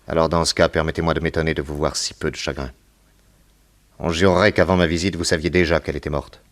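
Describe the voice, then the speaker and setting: An actor playing an authoritative detective in a radio drama, formal, probing and insistent. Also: male voice, very deep voice, little pitch movement, fast. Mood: courteous, suspicious. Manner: commanding, formal, insistent questioning. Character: authoritative male American detective, brisk, probing and courteous.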